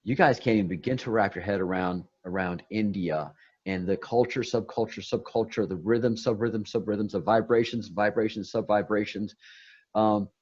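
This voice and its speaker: Man, low voice, rambling spiritual chat over a muffled call line, restarts and repeats. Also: moderate pace, darkish tone, slightly rough. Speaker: guest on a meditation talk.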